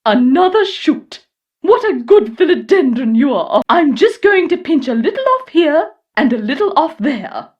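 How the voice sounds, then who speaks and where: A doting older eccentric for a video game. The voice is high and very lively, cooing in sing-song baby talk. The recording is slightly dull. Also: female voice, measured pace. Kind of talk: game character dialogue.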